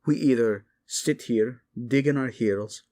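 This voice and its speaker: Fantasy audio drama: male actor as flirty tiefling adventurer turned soldier, raised pitch, animated, joking, flippant. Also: a little slow, lightly acted. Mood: chatty.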